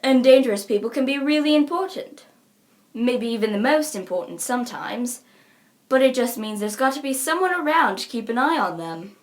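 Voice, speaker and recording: A woman voicing an earnest, protective young troll girl in a halting manner. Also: fairly high pitch, animated, natural voice. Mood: earnest, reassuring. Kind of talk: fan character voice acting reel.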